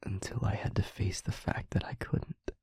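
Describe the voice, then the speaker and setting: Anguished demon lover, male actor, audio roleplay. Breathy, husky half-whisper, halting confession, very dry and close sound. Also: a little mellow, no room sound, close to an everyday voice. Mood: despairing. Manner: halting, slow, emotionally weighted delivery. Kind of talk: intimate dramatic audio roleplay monologue.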